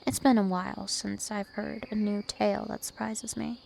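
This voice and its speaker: Casting: woman as an immortal vampire princess for ASMR roleplay, teasing and possessive, creaky voice over noticeable insect-like night ambience. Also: medium pitch, flat delivery, a little mellow, slight background noise. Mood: calm, probing. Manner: slow, deliberate, teasing and possessive.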